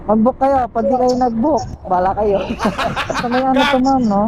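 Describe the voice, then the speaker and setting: A woman with a Filipino accent talks in a joking, teasing way as a rider vlogging on the job. She chats casually into a helmet intercom. Clear traffic and engine noise runs behind her. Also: high voice, moderate pace. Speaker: motorcycle taxi rider and vlogger. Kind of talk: casual chat with a passenger. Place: on a motorcycle on the street. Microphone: helmet intercom headset.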